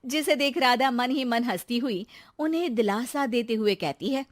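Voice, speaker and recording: A woman narrating a cartoon moral story in a lively storytelling manner. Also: fairly high pitch, moderate pace, dry recording, studio quality.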